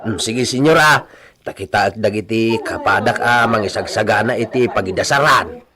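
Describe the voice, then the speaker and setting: Behind a loud crowd, a humble hacienda servant acted by a man speaks in a radio comedy-drama. He is hesitant and deferential toward his master. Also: lowish voice, normal speed, lightly acted. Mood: obliging.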